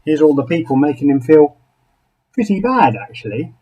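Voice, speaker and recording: A man, a rabbi, reading a picture book aloud to young children expressively, with dramatic pauses and small asides. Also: fairly high pitch, big pitch swings, warm tone, breathy edge, dull audio.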